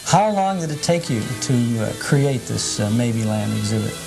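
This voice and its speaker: A man's voice: medium pitch, expressive, moderate pace, over music, low-quality recording. A man hosting a nostalgia TV show in an informal, folksy, chatty way with hesitant phrasing. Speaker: host.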